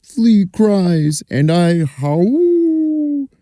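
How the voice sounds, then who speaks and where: A man doing a slow howling little dog. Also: high-pitched, sing-song, warm tone, no echo, clean studio sound, pitched above the performer's own voice, exaggerated voice. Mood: mournful.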